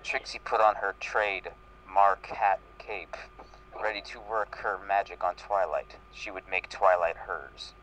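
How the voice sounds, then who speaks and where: A man's voice: sits low, steady tone, unhurried, a bit bright, slight background noise, low-quality recording. A man reading fan fiction aloud in a live group reading. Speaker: reader in a group comedy reading.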